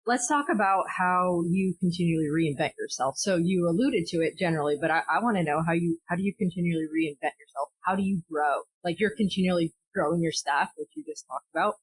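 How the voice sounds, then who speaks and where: A woman's voice: on the low side, quick pace, hardly any pauses, husky, no echo, low-quality recording. A woman hosting a podcast who asks questions eagerly and affirms her guest. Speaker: podcast host. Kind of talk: podcast interview.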